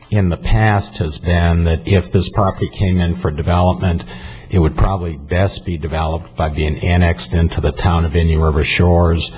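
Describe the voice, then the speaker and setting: A man giving an unscripted staff report at a public meeting, speaking haltingly and repeating words. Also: low-pitched, a little mellow, slightly rough. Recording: noticeable background noise.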